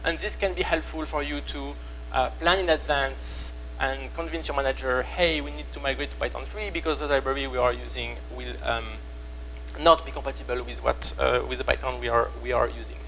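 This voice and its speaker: French-accented man giving a halting tech talk. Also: mid-range voice, fairly even intonation, sharp tone, slight background noise, poor audio. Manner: halting, many fillers and restarts. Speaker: conference speaker. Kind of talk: technical conference talk. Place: conference room.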